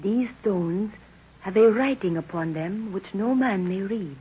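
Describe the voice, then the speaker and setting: Husky, solemn jungle witch. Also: mid-range voice, a little slow, warm tone. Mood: solemn, mysterious. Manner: solemn, formal, speaks of herself in third person. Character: commanding mystical jungle snake woman, moon witch. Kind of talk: 1930s radio drama acting. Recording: muffled old 1930s radio recording.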